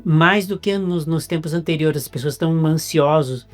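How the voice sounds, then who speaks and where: A Brazilian-accented man hosting a casual interview, halting and repeating words as he searches for them. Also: fairly high pitch, soft background music. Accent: Brazilian Portuguese. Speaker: interviewer host.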